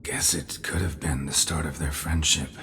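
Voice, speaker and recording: An actor playing a gruff, weary monster hunter in a video game, terse and deadpan in short, understated sentences. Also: male voice, extremely low pitch, moderate pace, a little hiss or hum. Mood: reflective, terse. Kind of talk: video game dialogue acting.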